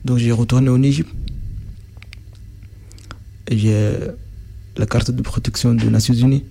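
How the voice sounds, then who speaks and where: A man's voice: medium pitch, steady tone, unhurried, vocal fry, dark tone. A young man from Sudan, a native Arabic speaker, answering interview questions in French with frequent restarts as he searches for words.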